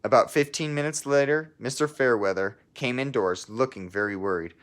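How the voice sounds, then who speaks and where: A man reading a children's storybook aloud like a teacher, in measured narration with casual asides. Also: medium pitch, fairly bright tone.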